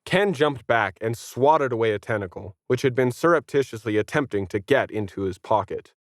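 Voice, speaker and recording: A man's voice: medium pitch, animated, moderate pace, dry recording, clean studio sound. A man reading scripted narration for a science fiction story.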